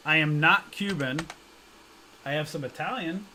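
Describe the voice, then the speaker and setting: A man hosts a live trading stream, chatting loosely with viewers and tossing in jokes and slang as he reads their comments. His voice sits a little high for a man. A faint hum runs under the recording. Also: measured pace. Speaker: stock trading livestream host. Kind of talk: casual livestream chat reading comments.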